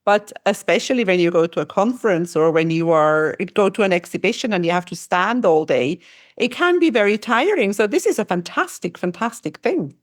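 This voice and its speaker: A woman hosting a travel podcast interview, speaking in a halting way with frequent restarts. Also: medium pitch, lively intonation, no room sound, clean studio sound. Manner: halting, restarts phrases often. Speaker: podcast host. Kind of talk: conversational interview.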